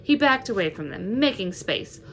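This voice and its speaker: Woman reading a storybook aloud, lively intonation. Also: medium pitch, normal speed, slight background noise. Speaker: teacher reading a novel aloud to pupils. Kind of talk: storybook read-aloud narration.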